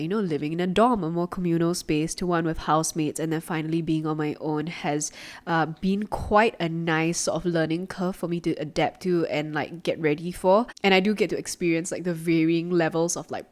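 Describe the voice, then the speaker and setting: A woman in her twenties with a Singaporean accent, hosting her own podcast in a relaxed, chatty way, with lots of "like" fillers, from her apartment. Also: fairly low pitch. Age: 20s. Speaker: podcast host. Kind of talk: casual solo podcast talk.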